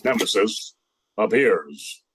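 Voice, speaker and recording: A man's voice: fairly low pitch, animated, slow, noisy background. A man narrating and announcing a scripted radio drama.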